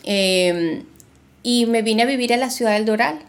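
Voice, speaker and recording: A woman co-hosting a podcast, telling her story in a relaxed, halting way with fillers. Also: a little high. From Argentina, raised in Venezuela. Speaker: podcast co-host, realtor. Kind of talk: casual podcast conversation.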